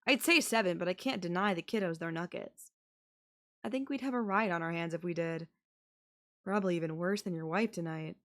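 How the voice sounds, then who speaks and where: A woman's voice: on the low side, fairly quick, fairly bright tone. A woman playing a manipulative young babysitter who is obsessively in love with her employer, speaking in a conversational, possessive and defensive way in an intimate audio roleplay. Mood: evasive, casual. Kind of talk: anime-style audio roleplay acting, intimate one-to-one.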